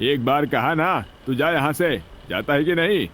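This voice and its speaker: A man's voice: fairly high pitch, unhurried, a bit bright, slight background noise. Man acting a bossy, cruel rich mill owner. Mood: scornful, angry. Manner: bossy, taunting. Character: cruel, pompous rich male mill owner scolding workers. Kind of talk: Hindi moral-story cartoon dubbing.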